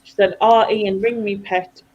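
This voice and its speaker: A woman's voice: medium pitch, fairly even intonation, measured pace, highs cut off. A woman hosting a live stream, chatting and teasing friends with giggly banter. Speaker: host. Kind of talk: casual chat on a live stream.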